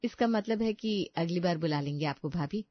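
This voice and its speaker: A woman's voice: on the low side, moderate pace, dry recording, lo-fi sound, lightly acted. A woman voicing an outspoken young daughter-in-law, teasing and sarcastic, in a cartoon story. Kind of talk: cartoon moral story voice acting.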